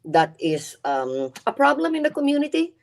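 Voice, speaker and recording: A woman's voice: high-pitched, sing-song, moderate pace, creaky. A middle-aged Filipina woman with a Filipino accent, speaking earnestly as a podcast guest and often repeating words as she builds her point. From Philippines. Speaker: guest, nonprofit CEO. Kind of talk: podcast interview.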